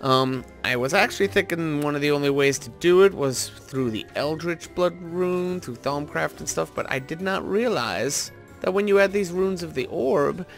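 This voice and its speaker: A man's voice: fairly high pitch, lively intonation, moderate pace, creaky, few pauses, faint music behind. A man hosting a gaming video, talking casually and informally as he thinks aloud while playing. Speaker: host of a gaming video series. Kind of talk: casual gameplay commentary.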